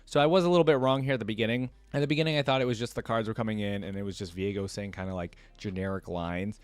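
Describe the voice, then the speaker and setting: A man's voice: fairly low pitch, racing, clear, resonant tone, no echo. A man hosting a reaction video, talking casually and thinking aloud in slangy, conversational commentary.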